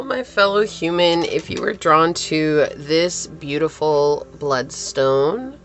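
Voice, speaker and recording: A young woman with a scratchy voice, chatting casually and reassuringly as she gives a tarot reading. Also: low voice. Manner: chatty, reassuring, laughs easily. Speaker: tarot reader.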